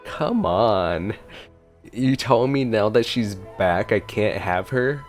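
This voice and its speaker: Male gaming streamer, lowish pitch, casual reactions, informal, exclamatory, faint music behind. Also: animated, takes it slowly. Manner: informal, easily impressed, exclamatory. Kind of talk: casual gameplay reaction commentary.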